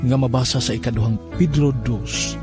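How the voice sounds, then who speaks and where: A man's voice: mid-range voice, fairly even intonation, moderate pace, over loud music. A man giving a solemn, scripted devotional reading of scripture. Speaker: scripture reader.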